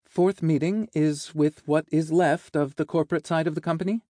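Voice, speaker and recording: A man's voice: a little high, steady tone, moderate pace, warm tone, no echo, studio quality, voice pushed up, natural voice. A man voicing a cheerful, efficient female secretary with a teasing, matter-of-fact manner in an audiobook. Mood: brisk, businesslike. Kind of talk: audiobook character dialogue.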